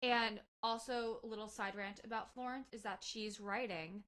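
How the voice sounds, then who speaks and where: A woman's voice: on the high side, fairly even intonation, moderate pace, a bit bright, clean tone. A young woman from Connecticut co-hosting a casual podcast, chatty and enthusiastic as she shares music news. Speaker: podcast co-host. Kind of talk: casual chat.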